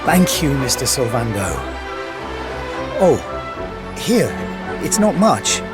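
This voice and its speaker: A man's voice: medium pitch, big pitch swings, slow. An actor playing a polite, humble, earnest townsman in a video game. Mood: relieved, grateful. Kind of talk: video game cutscene acting. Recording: loud game music behind.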